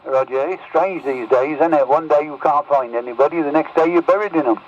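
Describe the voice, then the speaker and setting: Bloke with highish voice chatting on cb, muffled and super staticky. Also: male voice, a little fast. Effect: CB radio transmission. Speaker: radio operator. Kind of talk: casual CB radio chat. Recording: muffled, very noisy CB radio.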